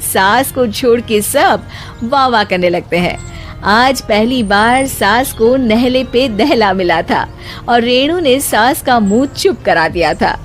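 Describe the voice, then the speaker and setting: A woman's voice: on the high side, animated, slow pace. A woman narrating a scripted moral story as a storyteller. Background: background music.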